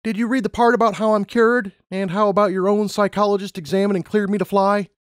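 A man's voice: high voice, flat delivery, fast, clear, resonant tone, dry recording, studio quality, voice pushed up, close to an everyday voice. A man voicing an earnest, anxious young female unicorn who wants to be a pilot, speaking insistently in a narrated story. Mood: defensive, insistent. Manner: insistent, pleading.

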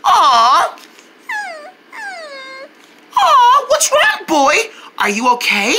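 A woman voicing a sweet, excitable young kindergartner in a children's cartoon, exclaiming in a sing-song way to young viewers. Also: high voice, normal speed, background noise. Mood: caring, gentle. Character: excitable, sweet young kindergartner, friendly kids' show character.

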